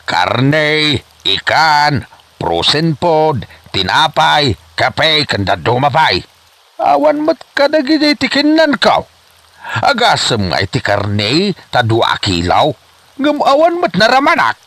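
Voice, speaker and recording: A man's voice: fairly high pitch, huge pitch swings, slow, a bit bright, no echo, exaggerated voice. A man playing a scolding, sarcastic father in an Ilocano comedy drama, with an Ilocano accent and theatrical nagging delivery. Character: exasperated, scolding father of an ambitious daughter. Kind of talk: Ilocano comedy radio-style drama acting.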